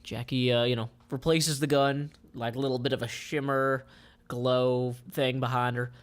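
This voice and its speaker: A man informally describing comic panels aloud as their creator, with halting, improvised phrasing. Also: medium pitch, level tone.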